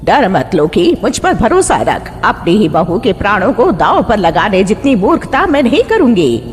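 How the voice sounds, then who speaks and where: A woman's voice: medium pitch, sing-song, normal speed, lightly acted. A woman voicing a stern old witch mother-in-law in a horror cartoon, commanding and sure of herself. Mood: reassuring, firm. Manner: commanding, threatening, assured. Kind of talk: Hindi horror cartoon dialogue. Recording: long hall reverb, background noise.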